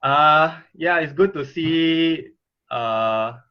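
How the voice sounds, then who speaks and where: A man's voice: on the high side, extremely slow, dull audio. A man greeting familiar faces on a video call, cheerful and chatty, laughing between words.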